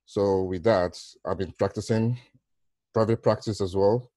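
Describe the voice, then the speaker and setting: Low-voiced middle-aged Nigerian man. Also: steady tone, unhurried, warm tone, no room sound. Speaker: counsellor, interview guest. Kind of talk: conversational interview answers. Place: small room at home, on a video call. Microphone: headset.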